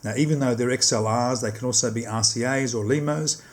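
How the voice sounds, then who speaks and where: A man's voice: medium pitch, brisk. A man giving a casual hands-on product demonstration, explaining step by step and often rephrasing his instructions.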